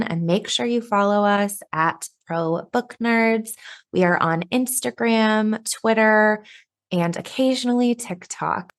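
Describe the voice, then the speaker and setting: A woman in her thirties co-hosting a book podcast, gushing and quick to laugh in casual chat. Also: medium pitch. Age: 30s. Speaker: podcast co-host.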